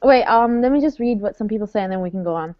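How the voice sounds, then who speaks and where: A woman's voice: medium pitch, lively intonation, fast. A woman in her early twenties hosting a casual online book club, chatting and reading viewer comments aloud. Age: 20s. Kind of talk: casual livestream chat. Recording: dry dull lo-fi webcam audio.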